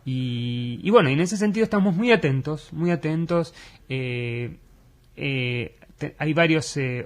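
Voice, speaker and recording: Argentine man, educator, radio interview, earnest, slightly high voice, filler-heavy. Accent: Argentine Spanish. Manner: earnest, measured, many fillers.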